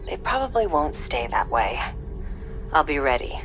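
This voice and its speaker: Dry female operative, varied pitch. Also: sing-song, moderate pace, fairly bright tone, heavily acted. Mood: guarded. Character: tough, wry young female covert-ops soldier. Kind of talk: video game cinematic voice acting. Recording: faint music behind.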